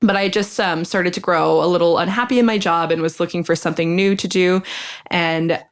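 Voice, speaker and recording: A young woman from Ohio, a podcast guest, chatting in a relaxed way with dry humor. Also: lowish voice, brisk. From Ohio, USA. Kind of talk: casual interview chat.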